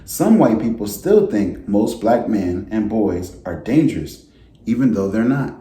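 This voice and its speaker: A man's voice: on the low side, very animated, unhurried, warm tone. A man voicing a blunt, outspoken older sister from a storybook, emphatic and matter-of-fact. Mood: blunt, insistent. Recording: roomy, echoey indoor sound.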